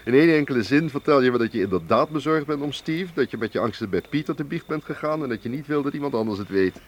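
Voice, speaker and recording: An actor playing a shrewd, protective man in a radio drama, probing and teasing in a measured way. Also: mid-range voice, animated, close to an everyday voice. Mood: teasing, knowing. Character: shrewd, protective Russian man, burly professional agent. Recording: clear noise, outdoor night insect ambience. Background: chirping crickets.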